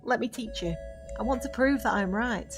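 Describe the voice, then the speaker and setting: An actress playing a centuries-old witch in an audio drama, gracious and quietly sorrowful, with formal, measured diction. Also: on the high side, lightly acted. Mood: earnest. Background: music.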